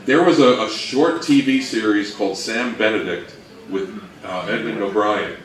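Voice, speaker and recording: A man's voice: lowish voice, normal speed. A man giving a casual presentation and fielding questions from an audience. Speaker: presenter at a fan convention talk. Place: roomy indoor presentation space with audience. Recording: echoey room, distant mic, audience noise.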